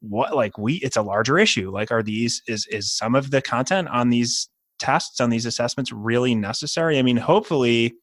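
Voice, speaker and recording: A man's voice: medium pitch, normal speed, hardly any pauses, fairly bright tone, no echo, studio quality. Rambling man hosting a podcast. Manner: rambling, hesitant, folksy asides. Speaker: podcast host. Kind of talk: podcast interview.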